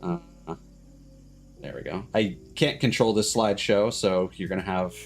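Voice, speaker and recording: Male livestream host, lower register, loose halting chat, light music in the background. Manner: rambling, halting, backtracking mid-sentence. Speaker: streamer host. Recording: music playing behind the voice.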